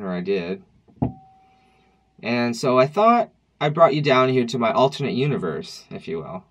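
A man's voice: on the low side, husky. A man in his thirties talking to camera at home in a personal vlog, reflective and wry, with loose conversational restarts. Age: 30s. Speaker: vlogger. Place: dim room at home, indoors.

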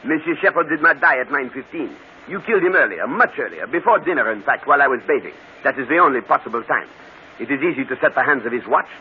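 An actor playing a shrewd, courteous, self-assured detective with a French accent, precise and theatrical, in an old radio mystery. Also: male voice, medium pitch, expressive, fast, lightly acted. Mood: triumphant, mocking. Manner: theatrical, precise, mock-polite. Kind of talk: 1940s radio mystery acting. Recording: tinny, hissy old radio broadcast.

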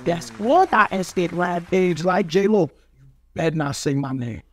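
A man's voice: high-pitched, lively intonation, a little slow, a bit bright. A middle-aged man with a New York accent, telling bawdy street stories in a brash, boastful, slangy way on a studio mic. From Brooklyn, New York. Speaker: guest, street graffiti artist. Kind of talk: bawdy street storytelling in casual podcast talk. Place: small studio with foam panels. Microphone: studio mic on a boom arm.

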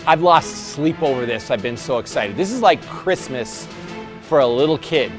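A man's voice: medium pitch, animated, moderate pace, music in the background. A middle-aged American man, a gym instructor, addressing a group in an emphatic, informal way. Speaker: gym instructor leading a challenge. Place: large gym hall with onlookers.

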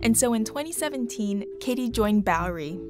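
A woman reporter reads a scripted feature narration with steady, measured delivery. Music plays clearly under her voice. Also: a little high. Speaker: reporter and host. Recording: voice-over with background music.

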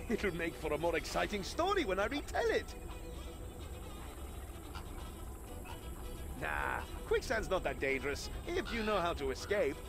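A man playing an eager, adventurous young desert companion in a video game, with a dramatic, expressive delivery. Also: fairly high pitch, vocal fry. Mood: thrilled. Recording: game music behind the voice. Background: background music.

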